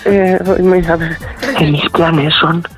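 A comedian voicing a timid older husband on a phone call, meek and hesitant. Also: male voice, a little high, fairly even intonation, slow pace, over loud music.

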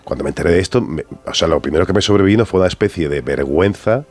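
A man's voice: low voice, rapid-fire, a little mellow. A man in his fifties from Andalusia with an Andalusian accent, speaking as an actor guest in a radio interview, reflective and loose with many fillers and tag questions. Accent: Andalusian Spanish. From Andalusia, Spain. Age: 50s.

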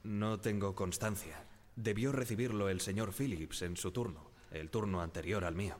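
A sombre ship's radioman , played by a man, speaks in a low voice. He is measured and deferential, as if testifying in a radio drama, with music at times behind him. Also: slight background noise, lightly acted. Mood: careful, deferential. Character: young deferential ship's wireless operator, sombre survivor.

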